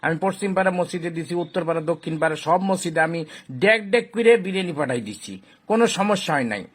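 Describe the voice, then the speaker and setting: A self-important, impatient village committee president, played by a man in a comedy skit. His voice is slightly high and husky, and he talks fast. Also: lively intonation. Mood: boastful.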